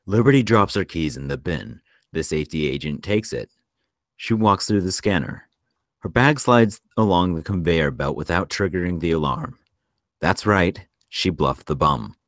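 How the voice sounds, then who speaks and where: A man narrating a screenplay at a table read in a measured storytelling delivery. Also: low-pitched, lively intonation, fairly bright tone, no echo, studio quality. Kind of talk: scripted narration of stage directions.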